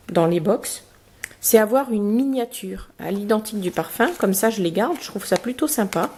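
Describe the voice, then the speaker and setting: A woman with a cold and a blocked nose, chatting through a beauty box review with many pauses and fillers and reading product cards aloud. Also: mid-range voice, a little mellow, a little hiss or hum. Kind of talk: casual unboxing review.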